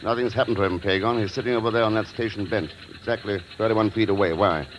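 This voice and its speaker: An actor playing a cool, shrewd secret agent in an old radio drama, calm, dry and understated. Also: male voice, lowish voice, fast talker, muffled recording, close to an everyday voice. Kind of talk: 1940s radio drama acting. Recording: noisy old radio recording.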